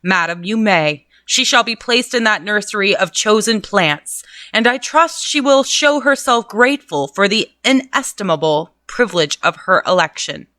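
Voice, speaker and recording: A woman's voice: medium pitch, animated, moderate pace, hardly any pauses, pushed and bright. A woman reading aloud the lines of a pompous, stern male clergyman in a storybook reading, with a preachy, moralizing manner. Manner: sanctimonious, moralizing.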